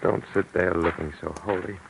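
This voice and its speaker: A hungover drunk husband on old-time radio. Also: male voice, low-pitched, fairly even intonation, normal speed, vocal fry, low-quality recording. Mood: irritable. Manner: snappish, then rattled and panicky. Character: hungover young alcoholic husband, defensive and snappish. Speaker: radio drama actor. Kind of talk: 1940s radio acting. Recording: faint noise on an old radio recording.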